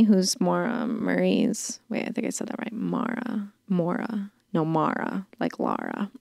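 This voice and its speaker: A woman's voice: mid-range voice, vocal fry, warm tone, clean tone, no room sound, studio quality. A woman co-hosting a casual pop culture podcast, chatting in a rambling way full of "like". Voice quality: calls her own voice dull. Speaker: podcast co-host. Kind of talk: casual chat.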